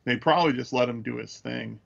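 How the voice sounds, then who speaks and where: A dull, muffled recording of an American man with a heavily creaky, gravelly voice at a middling pitch. He talks casually as a podcast co-host, picking through his analysis in a halting way. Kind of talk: casual chat, measured analysis.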